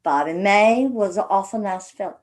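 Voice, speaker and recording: A woman's voice: mid-range voice, moderate pace, natural voice. A woman acting a wistful, plain-spoken hill-country sister in a stage play, with an Appalachian accent. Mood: wistful, fond. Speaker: actor in a one-act play. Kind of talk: stage play dialogue, reminiscing.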